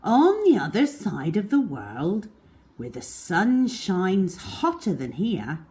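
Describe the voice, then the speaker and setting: A woman reading a children's story aloud as a storyteller, in an expressive, deliberate manner with drawn-out words. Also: mid-range voice.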